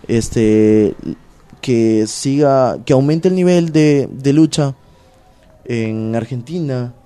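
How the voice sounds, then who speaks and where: Peruvian-accented wrestler, mellow-toned, loose slangy chat, filler-heavy. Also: male voice, medium pitch, slow pace, clean tone. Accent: Peruvian Spanish. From Peru. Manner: animated, slangy, many fillers. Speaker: pro wrestler guest. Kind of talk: casual interview chat.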